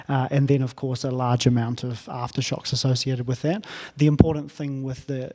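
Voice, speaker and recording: A man from New Zealand with a New Zealand accent, giving a technical lecture to an audience, pausing often with "uh" fillers. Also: mid-range voice, darkish tone. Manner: halting, frequent "uh" fillers. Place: lecture hall. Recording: long hall reverb.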